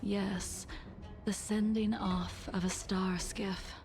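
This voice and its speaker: An actress voicing a solemn, composed, sorrowful swordswoman in a video game, with a breathy, hushed voice and measured, formal speech. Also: mid-range voice, flat delivery, lightly acted. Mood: solemn, regretful. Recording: faint game music behind.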